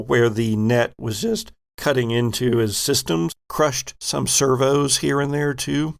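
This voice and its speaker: A man narrating as game master of a tabletop game in a measured, descriptive way. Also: mid-range voice, gravelly.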